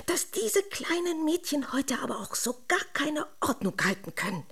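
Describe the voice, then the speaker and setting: A woman playing a dainty, homesick princess in a radio play, breathy and often whispering, with quaintly formal, exclaiming speech. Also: high-pitched, sing-song, normal speed, a bit bright, exaggerated voice. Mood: wistful, fussy. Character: runaway storybook princess, dainty, homesick, fussily polite. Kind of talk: German radio drama acting.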